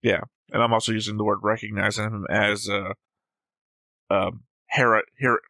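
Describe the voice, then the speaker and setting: A man's voice: lowish voice, level tone, normal speed, pushed and bright, no echo. A man co-hosting a reaction show, casually talking through his notes, analytical and jokey. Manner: analytical, jokey, acts out quotes. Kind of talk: casual discussion reading notes.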